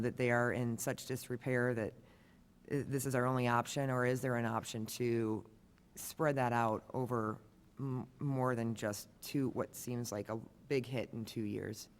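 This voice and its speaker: A man serving as an alderman, raspy-voiced, asking budget questions in a halting, methodical way at a council meeting. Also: medium pitch. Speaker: city alderman. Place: council chamber.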